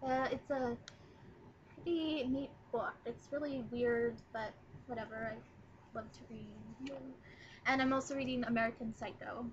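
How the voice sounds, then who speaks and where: 19 yr old girl rambling on her laptop webcam, lots of ums, voice a bit high, faint hiss behind. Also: fairly even intonation, a little slow, lo-fi sound. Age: 19, young adult. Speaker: vlogger. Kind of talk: casual first video blog. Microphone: laptop webcam mic.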